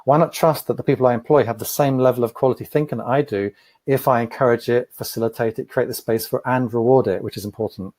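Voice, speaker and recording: A man answering interview questions as an entrepreneur, thoughtful and measured, often repeating a word as he starts. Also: medium pitch, a little mellow. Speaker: podcast guest, entrepreneur.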